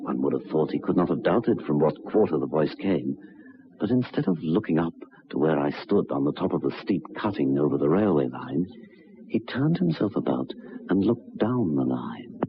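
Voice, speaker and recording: A very deep, gravelly haunted storyteller. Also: male voice, sing-song, exaggerated voice. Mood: measured recollection. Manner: literary, measured, brooding. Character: haunted male storyteller recalling an uncanny railway encounter. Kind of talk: 1960s radio drama narration. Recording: dull, noisy 1960s radio recording.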